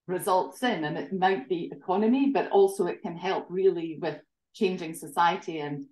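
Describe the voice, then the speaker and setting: A woman's voice: fairly low pitch, a little mellow, reverberant, rough recording. A Scottish woman in her forties, a tourism association director, talking articulately as an interview guest over a video call from her home. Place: home living room. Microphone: laptop webcam video call.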